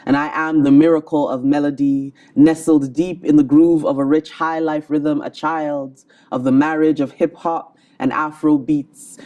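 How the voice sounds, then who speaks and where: A woman performing a spoken word poem in a rhythmic, measured delivery. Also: low-pitched, dark tone, highs cut off. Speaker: poet. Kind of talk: spoken word poetry recital.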